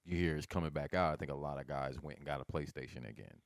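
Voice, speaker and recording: A man co-hosting a casual podcast chat, swearing freely, whose voice jumps up sharply when he gets excited. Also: very deep voice, quick pace, no room sound, clean studio sound. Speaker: podcast co-host.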